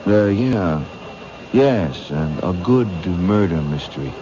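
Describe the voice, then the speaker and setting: An actor playing a menacing young killer in an old radio drama, halting and evasive, with slangy tough talk. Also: male voice, deep voice, animated. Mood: awkward, eager. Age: young adult. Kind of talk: old-time radio acting. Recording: noisy lo-fi old radio recording.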